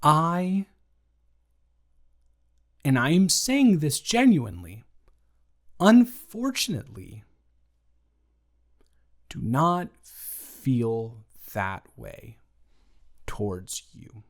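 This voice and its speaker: A man performing an improvised roleplay audio, speaking slowly and carefully with a soft, reassuring manner. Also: fairly high pitch, wildly swooping pitch. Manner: slow, careful, measured speech with pauses.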